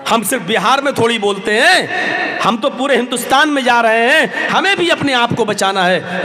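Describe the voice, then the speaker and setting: A man preaching an impassioned public sermon in an oratorical way, with emphatic repetition and rhetorical questions. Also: high-pitched. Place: large public gathering, over a PA system. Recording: echoey loudspeaker hall, crowd behind.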